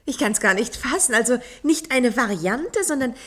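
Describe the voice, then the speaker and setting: A young woman of a future society in a German science-fiction radio play. Her husky voice moves very freely in pitch. She is skeptical, fond of comfort and playfully doubting. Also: animated, normal speed, a bit bright. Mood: excited, amazed.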